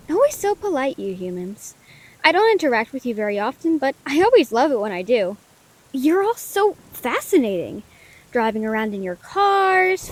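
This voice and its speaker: A woman playing a friendly, curious, caring lightning elemental in a scripted audio roleplay, speaking earnestly in quaint, formal words. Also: high voice. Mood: curious, cheerful. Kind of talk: scripted audio roleplay, gentle comforting monologue. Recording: rain behind the voice.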